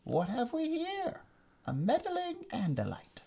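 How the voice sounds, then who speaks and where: A man putting on a high, sneering alien warlord. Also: huge pitch swings, gravelly, mellow, breathy edge, exaggerated voice. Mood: gloating. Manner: sneering, drawn-out, mock-British. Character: gloating evil alien male warlord commander.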